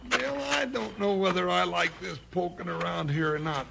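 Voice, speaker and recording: An actor playing a folksy, nervous big cowboy sidekick with an American Western accent in a 1950s radio Western. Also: male voice, high voice, moderate pace, gravelly. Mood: uneasy, wary. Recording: noisy old 1950s radio recording with clicks.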